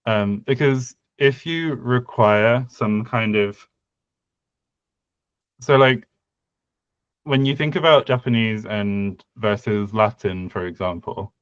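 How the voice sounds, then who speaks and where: Slow, hesitant man with a smooth voice. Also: fairly low pitch, dry recording, lo-fi sound. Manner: hesitant, lots of fillers and restarts. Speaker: podcast co-host. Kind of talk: casual conlang design discussion.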